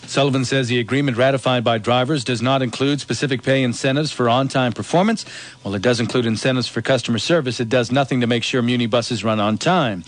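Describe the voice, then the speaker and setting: A man's voice: mid-range voice, quick pace. A man with an American accent anchoring radio news, reading headlines and weather live.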